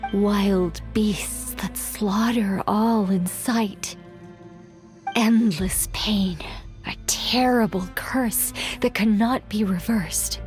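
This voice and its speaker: A woman playing a grave, ominous figure in a game, delivering a solemn, breathy monologue close to a whisper. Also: medium pitch, a little mellow. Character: ominous solemn narrator of doom. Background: faint game music.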